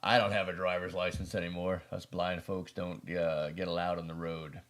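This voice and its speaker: A man's voice: low-pitched, little pitch movement, moderate pace, fairly bright tone. An American man hosting a prepper video, talking casually through a product review in a rambling, folksy, self-deprecating way.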